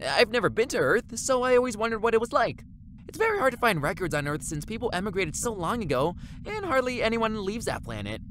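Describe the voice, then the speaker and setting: A woman's voice: a little high, very animated, brisk, fairly bright tone, slight background noise. A woman playing a naive, eager space station custodian who rambles guilelessly in a comedy audio drama. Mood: chatty.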